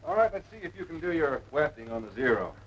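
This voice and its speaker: An actor playing a seasoned, patient air force officer giving measured, authoritative instruction in a 1940s military training film. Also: male voice, fairly high pitch, very animated, a bit bright, slightly rough, full character voice. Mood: matter-of-fact. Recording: noisy dull vintage film soundtrack.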